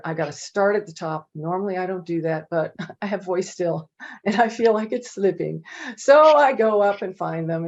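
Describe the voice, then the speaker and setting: A middle-aged woman telling casual stories on a panel from her home office, chatty, with many fillers. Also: fairly low pitch. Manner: chatty, animated, many "you know" fillers. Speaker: panel presenter. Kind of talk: casual anecdotal storytelling. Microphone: video call. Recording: dull lo-fi video call audio.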